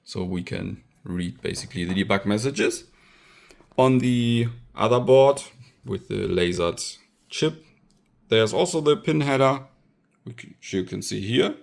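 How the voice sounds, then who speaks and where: A man's voice: on the low side, animated, unhurried, vocal fry, a little mellow. A man giving a casual hands-on tech tutorial, thinking aloud as he demonstrates. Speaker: electronics hacker presenting own project.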